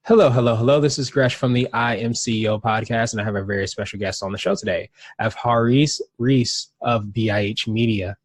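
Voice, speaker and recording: Man, podcast host, friendly interviewer, fairly low pitch. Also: steady tone, fairly quick, few pauses, dry recording. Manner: friendly, reads guest bio, brief affirmations. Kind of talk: interview hosting.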